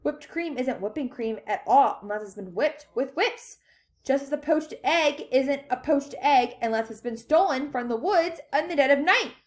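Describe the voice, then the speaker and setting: A woman voicing an excitable, eccentric man who runs a chocolate factory, bossy and gleeful, in a children's story. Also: high voice, moderate pace, voice pushed up. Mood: brisk, eccentric.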